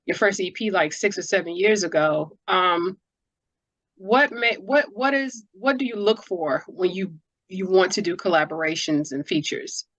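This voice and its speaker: A woman's voice: lowish voice, expressive, normal speed, a bit bright, rough recording. A woman hosting a casual interview, asking questions in a playful, informal way. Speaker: interviewer, host.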